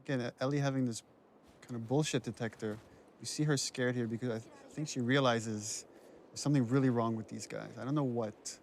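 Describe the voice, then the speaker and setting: Male game director, mid-pitched voice, casual commentary talk. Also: fairly even intonation, a little fast, a little hiss or hum.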